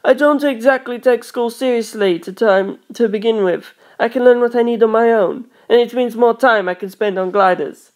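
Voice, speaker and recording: A woman's voice: a little high, moderate pace, few pauses, a little mellow, pitched above the performer's own voice, close to an everyday voice. A woman voicing an easygoing teenage boy in an audiobook, casual, frank and reassuring. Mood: frank, unbothered. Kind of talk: audiobook character voice.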